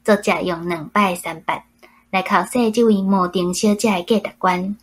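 A woman with a Taiwanese accent reads a prepared book reflection aloud. Her voice is mid-pitched and husky, in the manner of a club member presenting a written piece. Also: fairly even intonation, normal speed.

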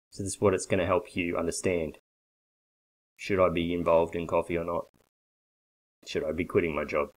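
A man in his forties giving a blunt, persuasive sales pitch straight to a webcam from a small bare office. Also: darkish tone, low-quality recording. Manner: blunt, persuasive, emphatic with hand gestures. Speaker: coffee business consultant. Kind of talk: direct-to-camera sales pitch. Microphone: webcam at desk.

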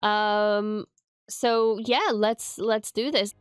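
A young woman in her twenties with a stuffy, blocked nose, casually reacting to a show from her bedroom setup on a boom mic, excitable and prone to shrieks and swearing. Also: drawn-out words, smooth, no room sound, studio quality, soft background music. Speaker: reaction streamer. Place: bedroom streaming setup with colorful lights. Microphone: studio mic on boom arm, headphones. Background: show audio playing.

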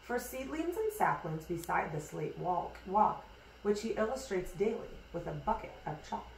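A woman's voice: medium pitch, gravelly. Echoey woman reading a rhyming storybook. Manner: expressive, measured storytelling. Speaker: storybook reader. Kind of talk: scripted read-aloud of a rhyming children's picture book. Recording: echoey room, distant mic, hissy noise.

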